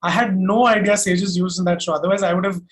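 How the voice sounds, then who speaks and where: A man's voice: sits high, fairly even intonation, fast. A man with an Indian accent answering interview questions in an emphatic, self-assured way, explaining things methodically point by point. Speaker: singer-songwriter and music producer guest.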